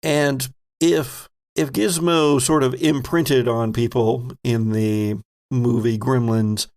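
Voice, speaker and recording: Slow, creaky-voiced man narrating. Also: mid-range voice, fairly even intonation, darkish tone. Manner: vivid descriptive storytelling, addressing players directly. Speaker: game master. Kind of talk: narrating a tabletop horror game.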